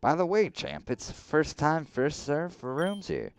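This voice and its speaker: A man's voice: fairly high pitch, lively intonation, a little slow, smooth, faint music behind, voice pushed up. A man reading the lines of a friendly, pushy young hall guide in a game. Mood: chummy. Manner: chummy, pushy. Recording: slightly dull lo-fi recording.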